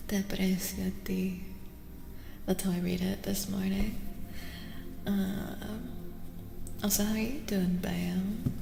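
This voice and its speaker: A woman streaming soft-spoken ASMR as a tarot reader, chatting to viewers in an unhurried murmur that often slips into whispers. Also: medium pitch, fairly even intonation, creaky. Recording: roomy reverberant echo, faint noise.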